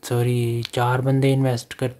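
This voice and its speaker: A man's voice: medium pitch, monotone, normal speed, darkish tone. A man, talking through an app step by step as a voice-over for a phone screen recording. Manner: instructional, repeats step-by-step directions. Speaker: online earning channel host.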